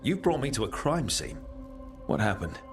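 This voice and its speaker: A man's voice: mid-range voice, very animated, normal speed, close to an everyday voice. A man playing an earnest, reassuring young adventurer and leader in a fantasy game, speaking plainly and encouragingly. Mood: casual, determined. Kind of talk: cinematic fantasy game dialogue. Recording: music playing behind the voice.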